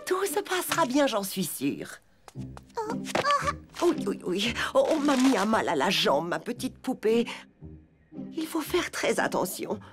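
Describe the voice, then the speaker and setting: A woman voicing a gentle, reassuring old elephant grandmother in a French cartoon. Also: fairly high pitch, very animated, unhurried, pauses often, over music. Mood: tender, reassuring.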